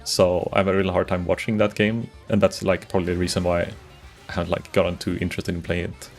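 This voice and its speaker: A man's voice: sits low, level tone, fast talker, faint music behind. A Swedish man streaming a game, chatting casually and rambling to viewers. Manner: rambling, chatty, greets viewers, frequent restarts. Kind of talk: casual live-stream chat while gaming.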